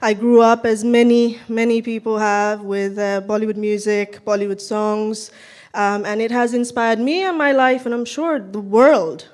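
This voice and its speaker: A woman's voice: fairly high pitch, steady tone, measured pace, darkish tone. Woman, from Nepal, singer talking between songs, stage microphone, indoor hall, apologetic. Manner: chatty, self-deprecating, apologetic. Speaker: singer at a cultural event. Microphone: handheld stage microphone.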